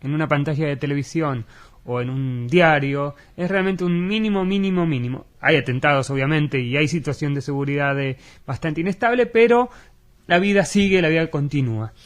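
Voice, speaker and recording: A man with an Argentine Spanish accent, an educator answering in a radio interview, earnest and measured with frequent fillers. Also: fairly high pitch.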